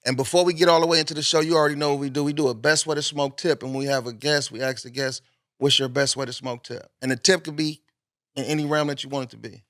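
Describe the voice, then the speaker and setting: Bright-voiced slangy male podcast host. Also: on the high side, fairly even intonation, fast, no echo, clean studio sound. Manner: laid-back slangy, repeats phrases like "that's what's up". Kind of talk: casual podcast chat.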